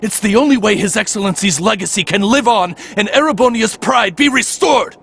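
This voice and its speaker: An actor playing a fanatical warmongering military villain in a video game, ranting defiantly in a raspy, strained, theatrical voice. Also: male voice, sits high, fairly quick, exaggerated voice. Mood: defiant fury. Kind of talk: anime-style video game voice acting.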